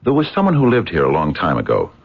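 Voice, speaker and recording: Very deep-voiced, bumbling American physicist. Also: male voice, wildly swooping pitch, fast, breathy edge, exaggerated voice. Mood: hesitant, polite. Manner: hesitant, polite, wordy, stammering. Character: earnest, bumbling American physicist abroad, courteous and curious. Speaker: actor in a radio mystery. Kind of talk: radio drama acting. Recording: dull, muffled radio drama recording.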